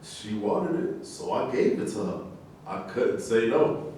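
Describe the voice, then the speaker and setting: A man's voice: medium pitch, animated, unhurried, darkish tone, close to an everyday voice. A man in a staged reading playing a boastful, scornful, unrepentant ex-convict. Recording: roomy echo, distant mic, faint noise.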